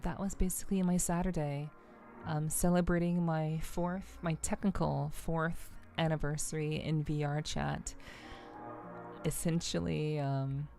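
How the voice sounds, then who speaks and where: A soft-spoken woman streamer chatting casually and unhurriedly with her live viewers in an ASMR style, rambling a little hesitantly. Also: deep voice, creaky. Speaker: livestreamer, VTuber host. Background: faint music.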